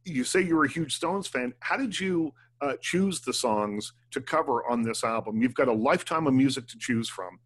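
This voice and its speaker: Man interviewing, smooth scripted delivery. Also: medium pitch, expressive, normal speed, hardly any pauses, a bit bright. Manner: smooth, scripted intro then conversational questions. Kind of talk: radio interview.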